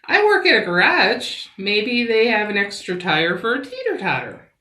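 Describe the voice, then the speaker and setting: A woman's voice: medium pitch, wildly swooping pitch, normal speed, sharp tone. A woman voicing a helpful female neighbour who offers suggestions in a children's storybook. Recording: roomy, echoing sound.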